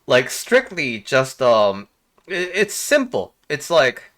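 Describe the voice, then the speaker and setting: Male life coach vlogger, from Korea, mid-pitched voice, very varied intonation, casual reflective delivery with frequent pauses. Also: wildly swooping pitch, fairly bright tone. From South Korea. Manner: halting, frequent pauses and rhetorical questions. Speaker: life coach and video host. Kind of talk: casual reflective commentary to viewers.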